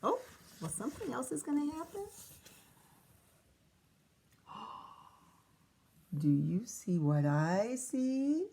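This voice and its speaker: A woman teacher reading a picture book aloud to children, pausing to ask questions and count along. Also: high-pitched, sing-song, gravelly, warm tone. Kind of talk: children's read-aloud with commentary.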